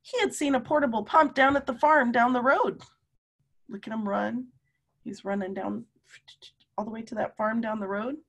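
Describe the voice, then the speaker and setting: A woman in her fifties reading a story aloud to young children, with animated, drawn-out emphasis and questions to her listeners. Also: on the high side. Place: room at home.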